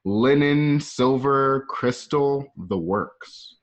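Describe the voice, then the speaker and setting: A man's voice: medium pitch, extremely slow. A man narrating scene directions informally, with casual conversational asides. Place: at home.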